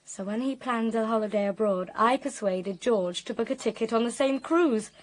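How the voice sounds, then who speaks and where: A woman's voice: a little high, moderate pace, close to an everyday voice. An actress playing a polite, candid young woman in a radio drama, speaking earnestly. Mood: confiding.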